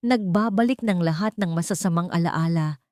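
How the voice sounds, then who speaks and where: Female story narrator, lowish register, dramatic scripted delivery, dry studio-like sound. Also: moderate pace, a little mellow, no echo.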